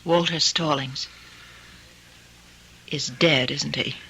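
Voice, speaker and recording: An actress playing an anxious, loyal, polite young wife in old-time radio drama, earnest and dramatic. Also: female voice, low-pitched, steady tone, takes it slowly, gravelly, sharp tone, a little hiss or hum, exaggerated voice. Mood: resigned, sad.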